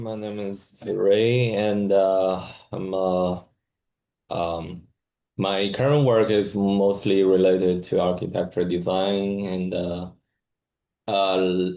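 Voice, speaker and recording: A man introducing himself in a video meeting, speaking hesitantly with pauses on fillers. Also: sits low, gravelly, mellow. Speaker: architecture design team member. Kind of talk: casual meeting self-introduction. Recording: roomy echoey mic.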